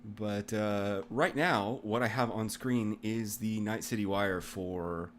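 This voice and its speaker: A man's voice: fairly low pitch, slow, fairly bright tone, slight background noise. A man hosting a casual podcast chat, rambling and self-deprecating as he moves through topics. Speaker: podcast host.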